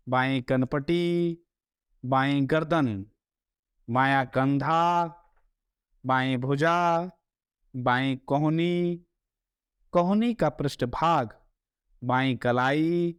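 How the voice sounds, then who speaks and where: A man leads a guided relaxation practice in a clear, resonant voice. He speaks very slowly and deliberately, giving soothing step-by-step instructions. The sound is dry, with no room echo. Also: medium pitch. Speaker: yoga nidra meditation instructor.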